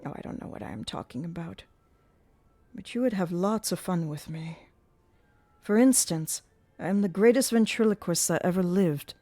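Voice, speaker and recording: A woman's voice: lowish voice, mellow, close to an everyday voice. A woman doing a gloating masked male ventriloquist. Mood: boastful. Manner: slow, taunting, swooping and gloating. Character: menacing masked male ventriloquist, mocking and theatrical.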